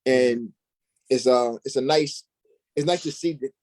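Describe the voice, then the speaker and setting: A man of medium pitch talks casually as an interview guest. He goes haltingly, thinking aloud, and the sound is very dry with no room echo. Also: darkish tone. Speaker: interview guest, stage actor.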